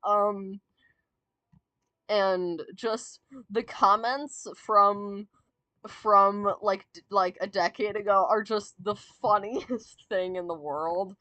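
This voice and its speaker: A woman chats her way through a song ranking, drawn out and full of fillers, giggles and restarts. Her voice has gone a little raspy and sore from long talking. She speaks slowly, and the sound is dry and close. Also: medium pitch, fairly even intonation, sharp tone, no room sound. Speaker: VTuber streamer. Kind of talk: casual fan commentary, ranking songs.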